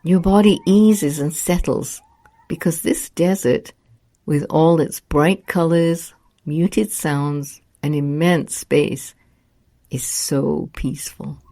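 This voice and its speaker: A woman narrating a scripted guided meditation in a soothing, hushed, unhurried manner. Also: sits low, fairly even intonation.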